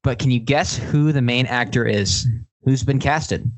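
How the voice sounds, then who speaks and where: A man's voice: medium pitch, measured pace, rough recording. A man hosting a podcast, giving opinions in a casual, emphatic, hyperbolic style. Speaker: podcast host.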